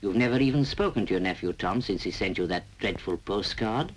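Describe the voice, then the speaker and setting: A man's voice: lowish voice, expressive, fairly quick, husky. A man with a British accent narrating a 1940s public information film in a chatty, wry, exhorting manner.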